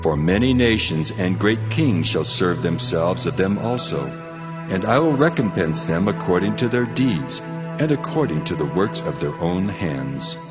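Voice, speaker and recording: Wrathful deity, scripture drama: very low, solemn, commanding, cavernous reverb. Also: male voice, animated. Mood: stern judgment. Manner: solemn, commanding, measured delivery. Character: God, a stern wrathful male deity pronouncing judgment. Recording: long cavernous reverb, music underneath.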